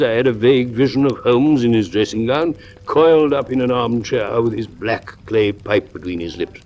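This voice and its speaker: Composed English gentleman storyteller, played by a man. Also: medium pitch, lightly acted. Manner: measured, literary storytelling. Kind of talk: dramatised first-person narration.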